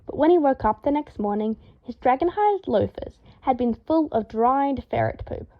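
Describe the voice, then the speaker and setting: A woman reading fan fiction aloud as an audiobook, telling the story in a lively, dramatic way. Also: high-pitched, moderate pace, dull audio. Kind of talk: expressive audiobook narration.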